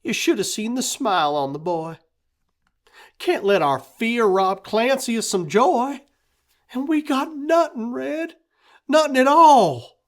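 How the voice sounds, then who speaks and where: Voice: mid-range voice, big pitch swings, unhurried, fairly bright tone, voice pushed up. A storyteller voicing a stubborn, tender rural mother in a rhyming tale, with a pleading, fiery drawl.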